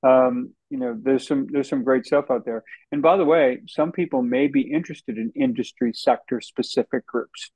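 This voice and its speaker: A man's voice: mid-range voice, fairly even intonation, moderate pace, few pauses, a little mellow, breathy edge, no echo, poor audio. A man giving interview answers as a guest author, talking conversationally with many fillers.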